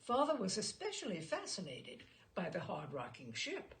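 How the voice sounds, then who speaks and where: A woman's voice: on the low side, huge pitch swings, moderate pace, fairly bright tone. A woman reading a literary short story aloud expressively, with theatrical emphasis on key words.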